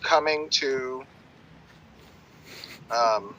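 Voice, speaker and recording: Raspy-voiced tired man on a stream. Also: medium pitch, extremely slow, bright, forceful tone, a little hiss or hum. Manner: rambling, many fillers. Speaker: game developer presenting. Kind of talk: livestream presentation. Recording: dull sound with faint music.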